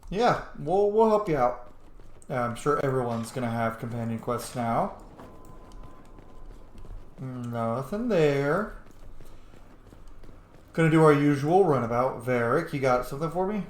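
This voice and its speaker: A man's voice: mid-range voice, animated, measured pace, pauses often. A man giving casual, informal gameplay commentary, reacting aloud to game characters. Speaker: let's play streamer. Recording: echoey room.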